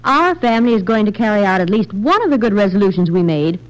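A woman's voice: medium pitch, very animated, fast, lightly acted, low-quality recording. A woman playing a determined, bossy American homemaker in a scripted 1940s radio commercial, on a vintage broadcast.

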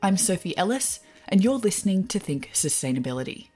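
A woman hosting a podcast, reading a scripted introduction and asking questions in a clear, measured way. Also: mid-range voice, big pitch swings, darkish tone, soft background music. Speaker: podcast host. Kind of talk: scripted podcast narration and interviewing.

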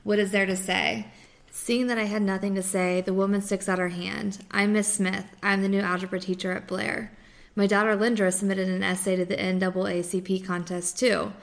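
A woman reading a memoir chapter aloud in a steady, even way. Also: medium pitch, normal speed, gravelly. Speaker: reader of a book chapter.

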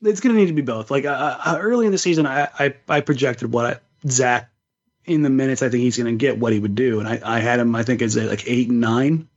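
A man's voice: mid-range voice, quick pace. A man co-hosting a sports podcast, talking casually and giving his opinions in long, thinking-aloud explanations. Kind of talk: casual sports talk and analysis. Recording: slightly dull, lo-fi sound.

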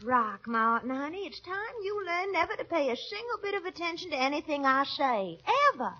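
A woman's voice: sits high, sing-song, pushed and bright, heavily acted. A woman playing a flirtatious, gushing Southern belle nearing thirty, with a Southern American accent, in a 1940s radio comedy. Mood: coquettish. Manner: flirtatious, gushing, drawn-out words. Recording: muffled vintage radio recording.

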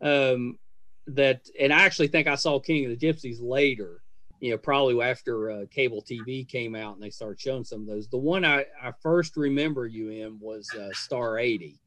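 An American man in his fifties hosts a casual podcast interview. His voice sits at a middle pitch, and he talks in a chatty, hesitant way, rambling as he circles toward his questions. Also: moderate pace, fairly bright tone, no echo. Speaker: podcast host.

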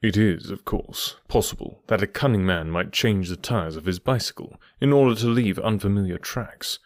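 A man voicing a brisk, methodical middle-aged male detective who explains his reasoning with precise confidence. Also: on the low side, dry recording, clean studio sound, natural voice. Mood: lecturing.

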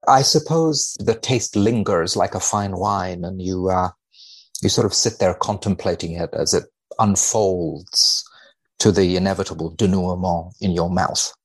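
Man, husky low voice, deadpan, measured, wry podcast guest. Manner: deadpan, measured, dry humour. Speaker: podcast guest, biomedical researcher. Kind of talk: wry conversation and dramatic reading of a research paper.

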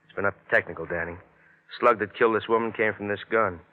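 An actor playing a brisk, plain-spoken, matter-of-fact policeman in a 1950s radio crime drama. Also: on the low side, natural voice. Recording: tinny phone-like old radio recording.

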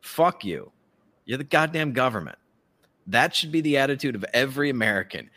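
A man's voice: medium pitch, big pitch swings, a little fast, bright, forceful tone. A man giving casual, profane political commentary as a podcast host, ranting and mockingly acting out what others say.

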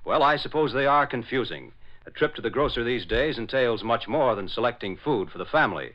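American man, 1940s announcer, animated pitch, scripted narration, dull old broadcast sound. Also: a little fast.

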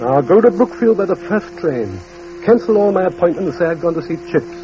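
Commanding posh sir in old radio play, highish urgent voice, muffled, loud music behind. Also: male voice, expressive, brisk. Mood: urgent, insistent. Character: urgent, commanding titled English gentleman.

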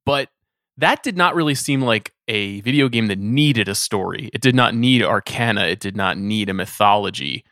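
A man's voice: fairly low pitch, normal speed, fairly bright tone, dry recording, clean studio sound. A man hosting a conversational podcast, speaking in a wry way and putting long questions to his guests. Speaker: podcast host.

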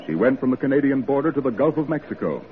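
A man's voice: mid-range voice, lively intonation, quick pace, background noise. A man narrating a 1940s radio drama in a grave, oratorical manner. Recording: tinny 1940s radio recording, music behind.

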